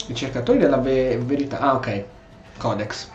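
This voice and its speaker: A man with an Italian accent giving casual, playful, excitable commentary as he role-plays through a video game. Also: mid-range voice, a little fast, echoey room, lo-fi sound, faint music behind. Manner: excitable, joking, exclamatory. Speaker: gameplay streamer.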